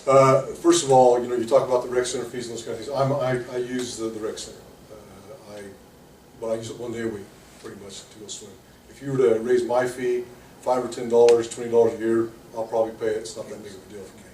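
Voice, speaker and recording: A middle-aged man speaks up at a city meeting in a plainspoken, halting way, full of restarts and fillers. His voice sits at an ordinary middle pitch. He is heard from well back in an echoey room, with faint ambience behind him. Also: little pitch movement, darkish tone, slight background noise. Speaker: public commenter and tournament director. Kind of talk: public comment at a city meeting. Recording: roomy distant meeting room audio.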